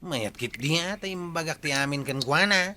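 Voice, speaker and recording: A man's voice: a little high, animated, moderate pace. A man playing a teasing, meddlesome villager in an Ilocano comedy drama. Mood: smug, teasing. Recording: loud background noise.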